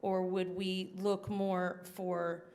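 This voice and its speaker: A woman's voice: lowish voice, flat delivery, slow, a little mellow. Hesitant woman asking a question. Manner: hesitant, many fillers.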